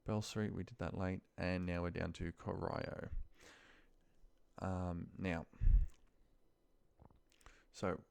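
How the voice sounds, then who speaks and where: A man with a deep voice and a gravelly fry walks someone through office work on his screen. He thinks aloud casually and haltingly, half muttering to himself as he goes. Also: fairly even intonation, darkish tone, no room sound. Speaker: trainer demonstrating office data work. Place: voice-over of a screen recording.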